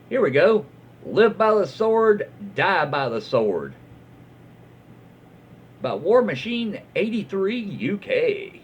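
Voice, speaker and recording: A man's voice: a little high, breathy edge. A man with a Southern US accent reading stories aloud casually, with folksy, rambling asides and drawling slang. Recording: dull lo-fi audio with faint noise.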